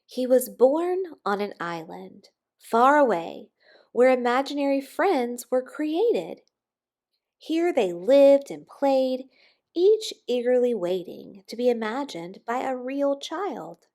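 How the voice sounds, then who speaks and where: Lady doing a kids storybook read aloud, highish voice, really takes her time, pauses for drama. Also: big pitch swings, a little mellow. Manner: slow, dramatic pauses with emphasis on key words. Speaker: storybook reader. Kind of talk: expressive children's picture book read-aloud.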